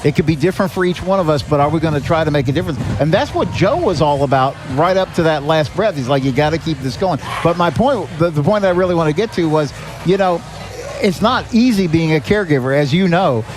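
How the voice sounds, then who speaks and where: A man with a fairly high voice speaks earnestly as a podcast guest. He talks in anecdotes, often quoting other people, with some music playing behind him. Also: animated, fast. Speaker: podcast guest, charity director. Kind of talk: earnest conversational talk. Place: live podcast at a restaurant.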